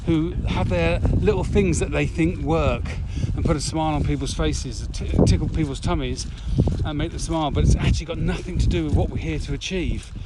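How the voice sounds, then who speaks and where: A middle-aged man co-hosting a podcast, talking casually while walking outdoors, a bit breathy and lively when he rants. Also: on the high side, brisk, hardly any pauses. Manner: slightly breathy from walking, animated when ranting. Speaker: podcast co-host, virtual training company. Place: outdoors, windy. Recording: loud outdoor wind noise.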